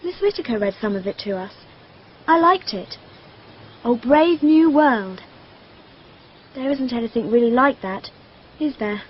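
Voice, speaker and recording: High-voiced, candid young girl. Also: lively intonation, measured pace, pauses often. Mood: wistful, curious. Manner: matter-of-fact, precise, childlike. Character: dreamy, candid, self-possessed twelve-year-old girl. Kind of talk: radio drama acting. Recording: dull lo-fi radio drama, noisy.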